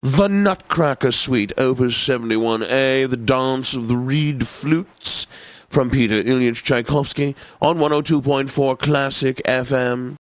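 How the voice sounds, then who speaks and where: Pompous classical radio DJ, dry studio sound. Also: male voice, medium pitch, no echo. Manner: pompous, precise, theatrically obliging. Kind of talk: scripted radio continuity announcing.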